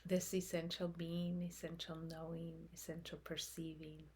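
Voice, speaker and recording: A woman leading a guided meditation in a soft, breathy voice, speaking gently in short phrases with long pauses. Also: on the low side, little pitch movement. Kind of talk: guided meditation, slow unfolding instruction.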